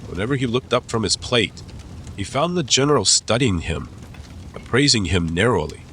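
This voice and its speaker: A man's voice: medium pitch, animated, moderate pace, a bit bright, a little hiss or hum. A man narrating a dramatized classic story as an audiobook, reading scripted literary prose.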